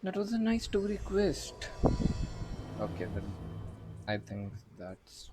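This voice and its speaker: A man streaming a video game, murmuring casual commentary as he haltingly thinks aloud. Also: high-pitched, huge pitch swings. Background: faint game music.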